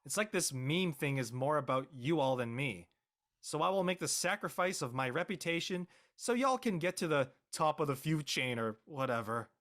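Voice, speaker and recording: A man playing an exasperated, reluctant high-school boy in an amateur script reading, with a whiny, protesting manner. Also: expressive, normal speed, fairly bright tone. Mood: resigned. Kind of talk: amateur audio drama table read.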